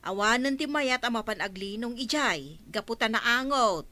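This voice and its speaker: A woman's voice: on the high side, lively intonation, normal speed, bright, forceful tone, dry recording. An actress with an Ilocano Filipino accent playing a plain-spoken, gossipy village woman who grumbles in a radio comedy drama. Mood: grumbling.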